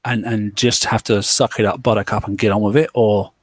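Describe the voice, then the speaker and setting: A man's voice: fairly low pitch, level tone, a little fast, no room sound. A man hosting a casual discussion, rambling along with lots of fillers and restarts. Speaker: podcast host.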